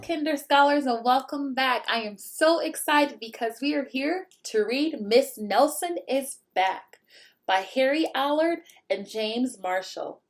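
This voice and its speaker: A woman reading a picture book aloud to young children in an expressive way, pausing to ask the listeners questions. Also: high voice. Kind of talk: animated children's read-aloud with asides to the listeners.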